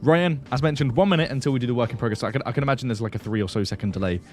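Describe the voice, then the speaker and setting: A man's voice: mid-range voice, racing. A chatty man hosts a live stream and greets his viewers one by one. He laughs easily and keeps up a loose, friendly patter. Faint music plays underneath. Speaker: livestream host. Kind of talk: casual live stream chat.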